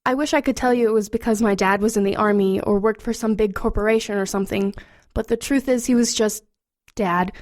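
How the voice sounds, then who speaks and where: A woman's voice: mid-range voice, steady tone, brisk, a little mellow, natural voice. A woman playing a sardonic goth teenage girl in an audio drama, dry and conversational. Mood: wry, detached. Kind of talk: audio drama narration and dialogue.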